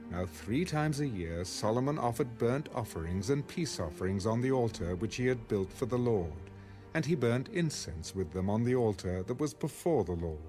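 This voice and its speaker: Expressive male scripture narrator over music. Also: mid-range voice. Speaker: audio Bible narrator.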